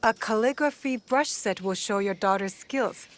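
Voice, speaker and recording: A young actress playing a direct, earnest warrior heroine in a video game. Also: female voice, mid-range voice, normal speed, lightly acted. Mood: kind, calm. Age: young adult. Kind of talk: video game cutscene acting.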